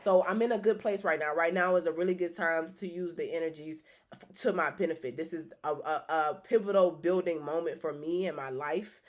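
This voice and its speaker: A woman's voice: deep voice, a little fast. A woman hosting a live stream and reading tarot cards, chatting in a rambling, loose way with many restarts and casual swearing.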